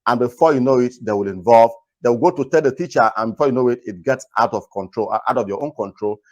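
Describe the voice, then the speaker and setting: A man from Nigeria hosting a talk video, earnestly advising viewers in a naturally loud voice and repeating his points. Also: medium pitch, fast talker, highs cut off. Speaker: host. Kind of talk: casual commentary to camera.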